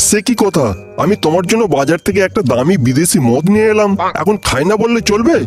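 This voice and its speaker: A man's voice: high-pitched, animated, fast, a little mellow. A man voicing a sly middle-aged father, falsely friendly and insistent in a comic cartoon. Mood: coaxing. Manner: ingratiating, insistent. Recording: background music under voice.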